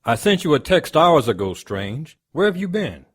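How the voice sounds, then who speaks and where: An actor playing a gruff, brusque police lieutenant in an audio drama, with a husky voice. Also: male voice, on the high side, sing-song, normal speed. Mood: businesslike, impatient.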